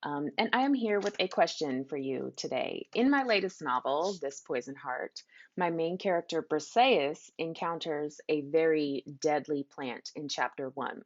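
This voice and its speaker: A woman author asking a trivia question in a measured, clear way. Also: deep voice, expressive. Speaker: young adult author. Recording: dull lo-fi recording.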